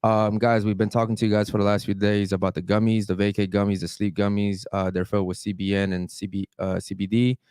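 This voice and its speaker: Fast-talking man hosting a podcast. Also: lowish voice, little pitch movement, a little mellow, smooth, no room sound, clean studio sound. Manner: hypes the chat and sponsor, asks guests questions. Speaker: podcast host. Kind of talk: casual podcast chat.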